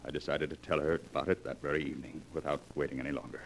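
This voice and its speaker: An actor playing a tormented, exasperated husband in a 1940s radio melodrama, brooding and earnest. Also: male voice, extremely low pitch, flat delivery, rapid-fire. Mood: haunted, brooding. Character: tormented, exasperated husband recounting his nightmare marriage. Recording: noisy vintage 1940s radio recording.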